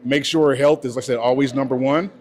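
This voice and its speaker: Relaxed man in his forties, laid-back chat. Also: mid-range voice, fast. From California. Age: 40s. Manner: relaxed, repeats "you know" and "right?". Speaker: bodybuilding coach. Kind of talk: casual interview.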